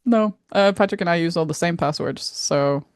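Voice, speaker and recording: A woman co-hosting a podcast in casual chat, informal and inquisitive, quick to laugh. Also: lowish voice, darkish tone, clean tone, no room sound, studio quality. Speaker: podcast co-host.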